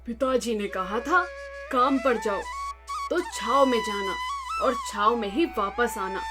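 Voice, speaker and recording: Naive, obstinate merchant's son read by a woman, high voice, insistent cartoon delivery over music. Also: lively intonation, slow.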